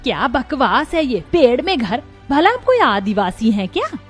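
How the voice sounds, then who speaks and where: A woman's voice: high voice, big pitch swings, measured pace, soft background music. A woman voicing a household woman in an acted family cartoon story. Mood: questioning, indignant. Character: women of a cartoon family, mother-in-law and daughter-in-law.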